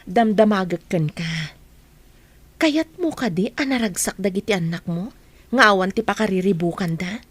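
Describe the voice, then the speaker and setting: An earnest younger woman in a radio drama. Her mid-pitched, slightly husky voice presses questions with concern, gently confronting the person she talks to. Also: lively intonation, normal speed, no echo. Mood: earnest, pressing.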